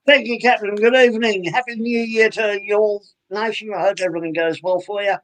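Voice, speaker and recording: A man's voice: sits high, steady tone, fairly quick, a bit bright. A man, co-hosting a studio talk show with dry, profane remarks and rambling, hesitant asides. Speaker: co-host. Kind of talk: casual profane banter.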